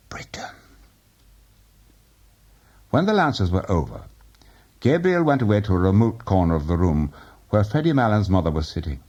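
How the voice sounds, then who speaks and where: A man narrating a literary audiobook in a measured, expressive way. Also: low-pitched, husky, lo-fi sound. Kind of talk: audiobook reading of literary fiction.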